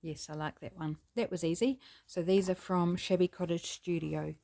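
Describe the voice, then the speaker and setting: Gravelly-voiced New Zealand woman crafting. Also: lowish voice, normal speed. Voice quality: gravelly, scratchy. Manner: chatty, relaxed, thinking aloud. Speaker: craft channel host. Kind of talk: casual craft narration while working.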